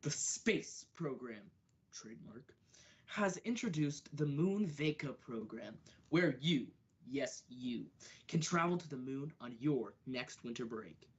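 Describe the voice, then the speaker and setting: A young woman playing a deadpan, halting announcer in a parody radio commercial. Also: a bass voice, heavily acted. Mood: deadpan salesmanship. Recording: dull lo-fi recording.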